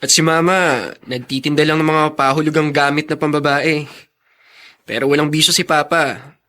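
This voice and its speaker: A man's voice: on the high side, fairly even intonation, moderate pace, bright, forceful tone. A man with a Filipino accent acting a kind, protective older brother in a radio drama. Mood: proud.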